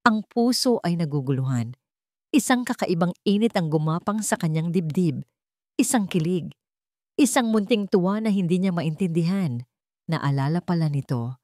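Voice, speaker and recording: A woman narrating a scripted romance story in a measured, sentimental, dramatic way. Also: lowish voice, expressive, a little mellow, no echo, studio quality.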